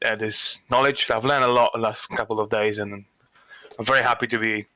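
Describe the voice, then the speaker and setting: A man's voice: on the low side, fairly even intonation, fairly quick, bright, forceful tone, no echo, poor audio. A man from Poland, living in England, chatting casually and laughing easily in a voice chat. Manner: friendly, laughs easily, self-deprecating. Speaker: chat participant.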